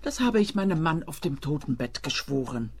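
Theatrical, shrewd widow, very lively intonation. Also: female voice, deep voice, a little fast. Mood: insistent, conspiratorial. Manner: theatrical, emphatic. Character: shrewd, insistent widow after a reward. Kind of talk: German-language radio play acting.

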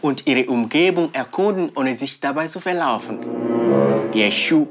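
A man speaks at a middling pitch in a performed spoken-word piece. He delivers his lines like a mock product pitch. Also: expressive, moderate pace, over loud music.

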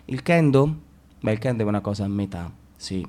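A man's voice: on the low side, animated, brisk, dark tone, natural voice. A man playing a cocky, self-destructive young slacker in a radio drama, rambling and interrupting himself as he confesses. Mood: rambling, detached. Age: young adult. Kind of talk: theatrical radio drama monologue.

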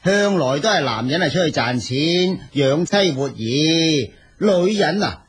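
A brash Cantonese husband and cab driver in a radio drama. His voice sits slightly high with a husky edge, and he scoffs and teases with colloquial emphasis. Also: animated, a little slow, heavily acted. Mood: boastful, defensive. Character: chauvinist husband and father, taxi driver, brash and teasing.

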